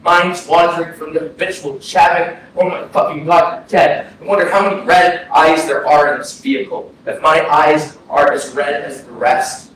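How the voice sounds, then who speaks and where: A man's voice: fairly high pitch, fairly even intonation, moderate pace, hardly any pauses, a bit bright, natural voice. A man acting a grieving, self-conscious young man who mourns a dead friend in a poetic staged reading. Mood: numb, anxious. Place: indoor arts center stage, recorded from afar. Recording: very echoey hall, distant, faint noise.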